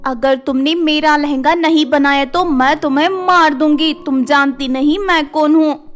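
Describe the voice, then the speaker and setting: A woman's voice: sits high, measured pace. A woman voicing a spoiled, rich young cartoon fairy with an arrogant, demanding manner. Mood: furious. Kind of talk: cartoon fairy-tale acting.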